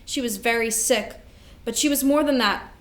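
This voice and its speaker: An echoey room carries the fairly high voice of a woman acting as a devoted older sister in a stage drama. She speaks earnestly, weighed down by grief and duty. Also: normal speed, fairly bright tone, close to an everyday voice. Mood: grieving. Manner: earnest, emotional delivery. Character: devoted, grieving older sister carrying guilt and responsibility. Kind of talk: staged play reading.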